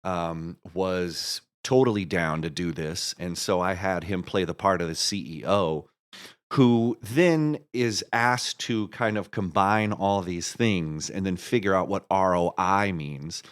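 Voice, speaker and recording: A man's voice: deep voice, slow, clear, resonant tone. A man being interviewed as a guest, casually explaining his creative project in a rambling way, thinking aloud and restarting his sentences. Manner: rambling, thinks aloud with repeated false starts. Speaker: guest, writer and director of an audio musical.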